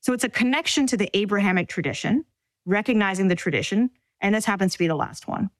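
A woman in her forties from Staten Island, New York, telling her story in an interview in thoughtful, measured phrases with pauses. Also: medium pitch, no echo. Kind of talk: reflective personal storytelling.

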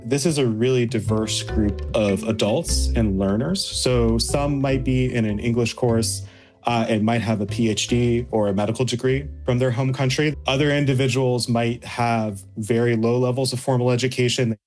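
Policy analyst guy, measured tone, music under him. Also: medium pitch, steady tone, darkish tone. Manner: measured, informative. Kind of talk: interview.

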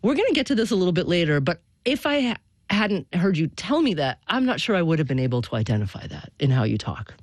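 A woman radio host with a Western US accent talks in a lively, earnest way. Her pitch swings widely, and the sound is dry and close. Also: no echo. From Oregon. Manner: lively, earnest, a little halting in chat. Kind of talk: radio talk show hosting.

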